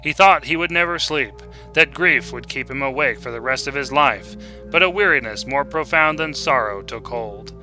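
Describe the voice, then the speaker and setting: Very bright, forceful male story narrator. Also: medium pitch, moderate pace, faint music behind, poor audio. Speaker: short story reader. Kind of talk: dramatic scripted narration.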